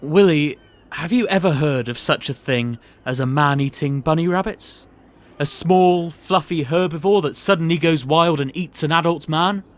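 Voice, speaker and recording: A pompous, genial boss of a shady petting zoo, acted by a man in a comic audio drama. He is jovial and theatrical, drawing out his phrases in a voice lifted a little high. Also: moderate pace, close to an everyday voice. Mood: ominous, probing.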